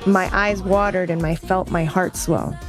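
Earnest, low-voiced woman narrating. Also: fairly even intonation, unhurried, music in the background. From United States. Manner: reflective, earnest storytelling. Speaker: host and narrator. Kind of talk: scripted narration.

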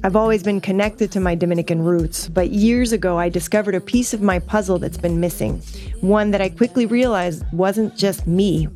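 A woman's voice: mid-range voice, moderate pace, a little mellow, faint music behind. A woman from the United States narrating with reflective, earnest storytelling as host. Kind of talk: scripted narration.

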